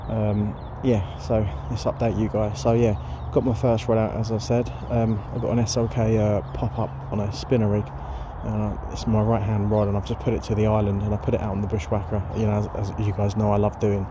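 A man chatting casually by a lake about carp fishing, rambling with plenty of fillers, his voice going hoarse. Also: on the low side, little pitch movement, brisk, hardly any pauses. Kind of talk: casual vlog chat to camera. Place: outdoors by a lake. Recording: noisy outdoor recording.

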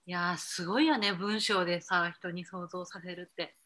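A woman's voice: lowish voice, fairly even intonation, normal speed, a bit bright. A woman co-hosting a casual chat, reacting with agreeing murmurs and short questions. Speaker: podcast co-host.

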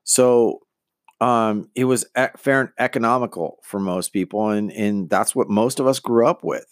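A man's voice: mid-range voice, moderate pace, no room sound, clean studio sound. A middle-aged man hosting a podcast, talking casually and earnestly with lots of fillers and restarts. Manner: rambling, many fillers and restarts; earnest, motivational. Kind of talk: casual monologue on personal branding.

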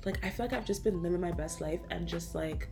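A woman's voice: on the low side, quick pace, creaky, over music. A woman in her twenties co-hosting a casual chat with a friend, slangy, teasing and easygoing. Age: 20s.

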